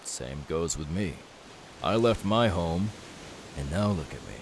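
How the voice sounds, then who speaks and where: Casting: young pirate male performer, low-pitched, easygoing and reassuring, for intimate ASMR roleplay. Light hiss on the track. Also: lively intonation, unhurried. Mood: earnest. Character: easygoing young pirate man, kindly and self-assured. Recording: faint background hiss.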